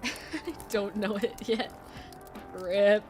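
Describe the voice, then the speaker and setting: A woman's voice: mid-range voice, very slow. A woman streamer in casual chat, rambling and laughing often with faint music behind her.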